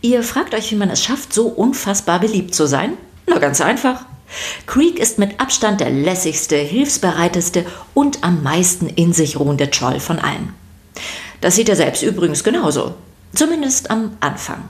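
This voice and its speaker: A woman with a fairly low voice narrates a scripted podcast for children. She speaks to her listeners directly, in a playful storytelling manner. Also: big pitch swings, moderate pace, darkish tone.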